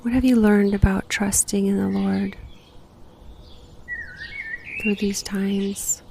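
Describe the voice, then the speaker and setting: A woman guiding a meditation in a gentle, unhurried, breathy voice that sometimes nears a whisper, giving slow reflective prompts. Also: mid-range voice, little pitch movement, noisy background. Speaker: guided meditation host.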